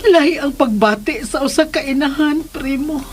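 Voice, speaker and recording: A woman's voice: high voice, normal speed, faint music behind, natural voice. An actress playing a loving, emotional mother in a radio drama, with a tremulous, tender delivery. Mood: tender, troubled.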